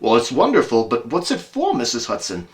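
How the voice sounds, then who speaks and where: A man playing an earnest, easily astonished gentleman doctor, polite and flustered, in an amateur audio drama. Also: mid-range voice, huge pitch swings, a little fast.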